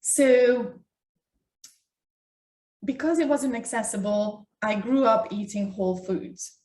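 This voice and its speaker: A woman from Ukraine, talking deliberately and emphatically as co-host of a conversational online health talk. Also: on the high side, darkish tone. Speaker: doctor co-hosting a health talk. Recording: echoey room, distant mic.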